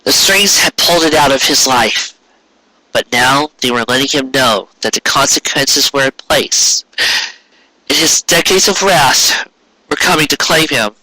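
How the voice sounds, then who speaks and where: A man reading a story aloud as an amateur narrator, in a dramatic, measured way with pauses. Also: mid-range voice, pushed and bright, no echo, poor audio. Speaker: amateur audiobook reader.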